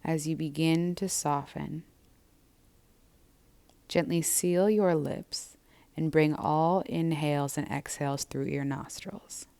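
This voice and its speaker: Low-voiced woman guiding gentle meditation. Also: fairly even intonation, gravelly. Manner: gentle, measured, soothing guidance. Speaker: yoga and meditation instructor. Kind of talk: guided breathwork and body scan instruction.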